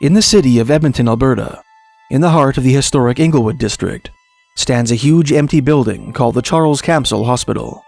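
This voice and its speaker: A man narrating scripted documentary stories of eerie places in a measured, formal, articulate storytelling manner. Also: medium pitch, vocal fry, a little mellow, no room sound. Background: music.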